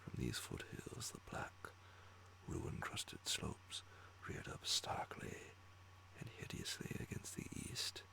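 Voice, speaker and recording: A man reading a horror story as an ASMR bedtime narration, in a breathy, hushed whisper with deliberate phrasing. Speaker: audiobook reader of horror stories.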